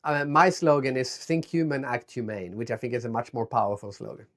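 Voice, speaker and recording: A man's voice: mid-range voice, fairly even intonation, brisk, a bit bright. A man from Sweden, a guest author, answering interview questions in an enthusiastic, reflective way over a video call. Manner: reflective, enthusiastic, with some restarts.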